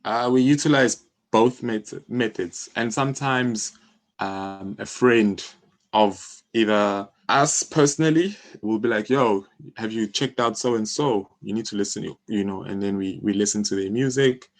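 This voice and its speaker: A man's voice: fairly low pitch, a little slow. A man in his thirties with a South African accent, explaining his work informally as an interview guest, often saying "you know". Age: 30s. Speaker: interview guest, music publisher. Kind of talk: conversational interview.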